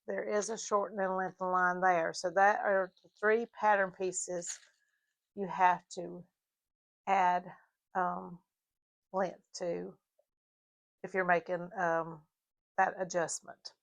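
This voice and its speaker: A slightly hoarse woman with a Southern US mountain accent, explaining her sewing project in a casual, halting tutorial with fillers. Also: on the low side, little pitch movement. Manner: halting, with frequent fillers and restarts. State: a little hoarse. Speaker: sewing vlogger.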